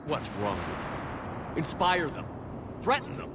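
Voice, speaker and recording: Resolute military commander. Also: male voice, fairly high pitch, huge pitch swings, takes it slowly, heavily acted. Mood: stern, urging. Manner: authoritative, clipped. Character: resolute human navy commander, tough, terse action hero. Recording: clear vehicle and ambient noise behind.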